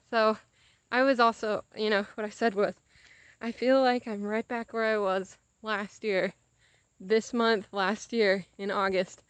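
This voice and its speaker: A woman's voice: medium pitch, dry recording. A young adult woman chatting casually and confessionally to a camera, animatedly retelling conversations. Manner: animated, acts out quoted conversations. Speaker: vlogger.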